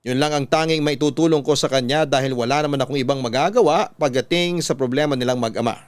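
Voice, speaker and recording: Man narrating as smooth, earnest Filipino storyteller. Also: medium pitch, no echo, natural voice. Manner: measured, sincere, confessional. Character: earnest, reflective male storyteller recalling a troubled former lover. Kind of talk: scripted first-person radio story narration.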